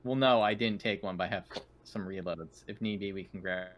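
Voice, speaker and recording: A man with a fairly low voice chats casually as a tabletop game player. He is directive, explaining how things work and what to do. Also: fairly quick.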